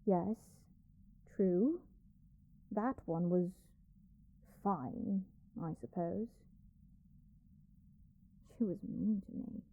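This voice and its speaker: A woman's voice: on the low side, very slow, long pauses, phone-quality sound, heavily acted. A woman playing an arrogant young princess in a dramatic audio roleplay, haughty, petulant, theatrical and given to complaint. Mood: sulky.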